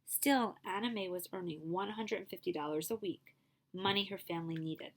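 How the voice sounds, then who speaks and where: A woman librarian reading a picture book aloud at a steady storytelling pace, now and then stopping for a short aside to her listeners. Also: fairly low pitch, moderate pace.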